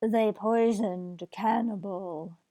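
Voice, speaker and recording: A woman playing a sham exotic jungle goddess in an old-time radio comedy, speaking in stilted, deadpan broken sentences. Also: mid-range voice, takes it slowly, no echo, close to an everyday voice. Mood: solemn deadpan. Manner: deadpan, stilted, drops articles. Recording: slightly dull, muffled sound.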